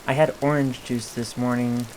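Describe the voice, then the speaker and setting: A hoarse man giving casual, hesitant commentary over a video game, thinking aloud with frequent pauses and fillers. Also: medium pitch, flat delivery, darkish tone, slight background noise, low-quality recording. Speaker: gameplay video creator. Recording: clear game music under the voice. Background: game music and rain sounds.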